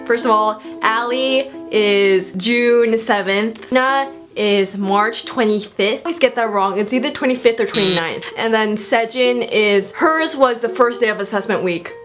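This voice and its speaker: A teenage girl answering viewer questions in a casual vlog, bubbly and self-mocking, with quick asides. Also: on the high side. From South Korea. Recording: music playing under the voice. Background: background music.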